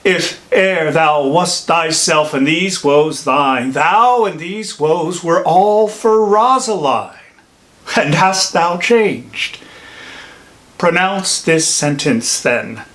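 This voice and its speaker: A man playing a wise old holy friar in a classical Shakespearean monologue, delivering verse in a deliberate, theatrical manner. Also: on the high side, expressive, a bit bright, heavily acted. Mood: astonished, chiding.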